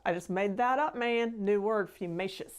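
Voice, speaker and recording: A woman's voice: mid-range voice, moderate pace, clear, resonant tone. A middle-aged woman painter hosting a casual live stream, talking in a chatty, rambling way. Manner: chatty, rambling, with laughs and fillers. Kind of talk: casual painting demo chat.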